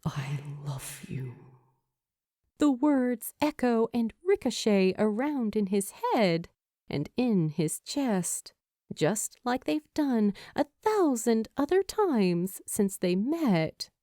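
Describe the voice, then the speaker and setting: A woman narrates fiction at an unhurried pace. Her voice is mellow and moves through a lively range of pitch. The recording is dry and close. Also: no room sound. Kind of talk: expressive scripted story narration.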